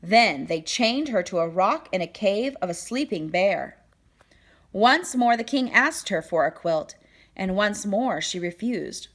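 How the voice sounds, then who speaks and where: A woman storyteller reads a children's picture book aloud. Her voice sits at a middling pitch, and she phrases deliberately, giving key words expressive, dramatic emphasis.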